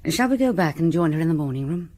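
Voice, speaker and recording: An actress playing a composed, genteel middle-aged British village hostess in a radio drama, articulate and reserved. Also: female voice, sits low, expressive, quick pace, warm tone.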